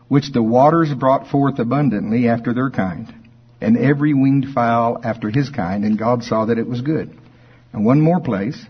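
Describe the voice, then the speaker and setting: A man preaching in an informal Bible study, conversational and folksy, slowing with deliberate emphasis as scripture is read aloud. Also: medium pitch, breathy edge, dull audio. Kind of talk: informal Bible teaching to a congregation, reading verses aloud. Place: speaking live before a congregation.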